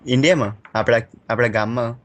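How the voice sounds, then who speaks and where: A man's voice: mid-range voice, fairly quick, a bit bright, slight background noise. Gujarati man, insistent prank caller. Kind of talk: improvised prank phone call.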